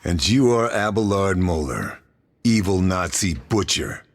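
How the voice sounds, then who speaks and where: An actor playing a grim, determined Second World War sniper agent in a video game, terse and controlled in a low voice. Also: male voice, expressive, a little slow, heavily acted. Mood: dry menace. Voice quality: deep, low voice. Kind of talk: video game acting and scripted mission narration.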